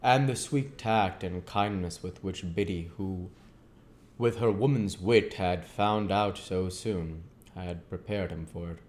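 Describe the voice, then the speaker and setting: A man's voice: low voice, takes it slowly, a little mellow. A man reading a classic novel aloud as an amateur audiobook narrator.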